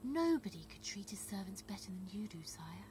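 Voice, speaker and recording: A woman's voice: mid-range voice, very animated, normal speed, darkish tone, a little hiss or hum, lightly acted. A woman voicing an oily, fawning courtier lord in a storybook, with exaggerated deference.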